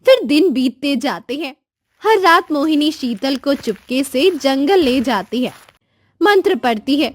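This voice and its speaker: A woman's voice: sits high, animated, measured pace. A woman giving scripted storybook narration as the narrator of a cartoon tale.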